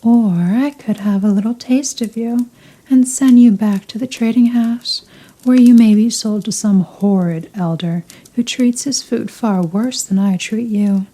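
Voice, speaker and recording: Woman doing a rich vampire lady for asmr roleplay, super mellow and slow, teasing, possessive, kinda formal and seductive. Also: a little high, poor audio. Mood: coaxing, menacing. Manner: slow, teasing, formal, softly commanding, breathy murmurs. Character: seductive, possessive female vampire, wealthy and dominant. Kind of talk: ASMR roleplay, scripted intimate monologue.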